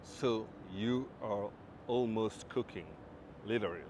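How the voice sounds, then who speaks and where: A man's voice: fairly low pitch, measured pace. Guy from congo vlogging on his phone, walking the waterfront at night, easygoing chatter, traffic noise all around. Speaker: travel vlogger. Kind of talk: casual vlog talk to camera. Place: outdoors on a city waterfront at night. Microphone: smartphone on a handheld gimbal. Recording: street traffic noise behind.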